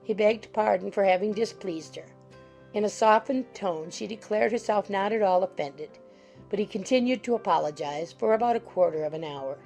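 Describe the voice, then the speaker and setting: Creaky, mellow female audiobook narrator. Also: mid-range voice, moderate pace, faint music behind. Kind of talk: scripted narration of classic novel.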